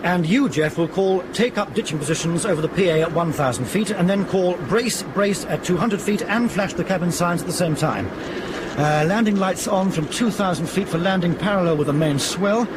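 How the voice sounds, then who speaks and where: An actor playing a commanding British airliner captain in a radio drama, clipped and procedural in an emergency. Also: male voice, high-pitched, moderate pace, background noise, lightly acted. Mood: brisk, composed.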